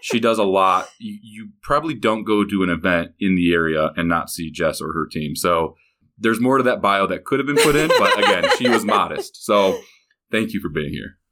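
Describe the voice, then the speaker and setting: Male podcast host, American, low register, conversational, complimentary interviewer. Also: lively intonation, moderate pace, fairly bright tone. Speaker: podcast host and chamber executive director. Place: studio in an office.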